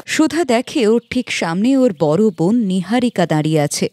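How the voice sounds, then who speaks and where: A woman's voice: mid-range voice, lively intonation, moderate pace, dark tone, slight background noise. A woman reading a scripted children's moral story as its narrator.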